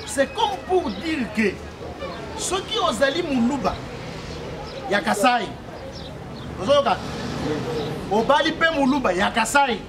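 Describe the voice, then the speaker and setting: A man's voice: very high voice, expressive, slow pace, long pauses. A man from Congo giving an explanatory public address at an outdoor political meeting, checking that the crowd follows and leading chants. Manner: explanatory, repeatedly checks the crowd understands, leads call-and-response chants. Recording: distant mic, crowd heard behind. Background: crowd responding.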